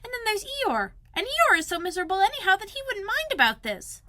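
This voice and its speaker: A woman voicing a very small, timid piglet who is anxious and wistful, in a squeaky, plaintive storybook manner. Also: sing-song, a little fast, sharp tone, heavily acted. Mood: anxious musing.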